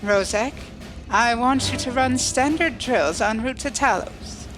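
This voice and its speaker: A woman's voice: on the high side, unhurried, natural voice. A woman playing a formal, coolly logical starship ensign in a sci-fi audio drama, speaking precisely and without emotion. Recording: music under the dialogue.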